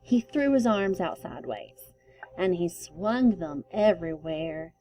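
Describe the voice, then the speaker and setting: A woman storyteller giving an expressive read-aloud of a children's book with deliberate, emphatic phrasing. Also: lowish voice, faint music behind, poor audio.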